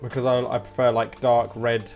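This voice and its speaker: A man's voice: mid-range voice, flat delivery, normal speed, a little mellow. A man streaming a game, chatting informally with rambling explanations. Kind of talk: casual live-stream chat while gaming. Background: game music.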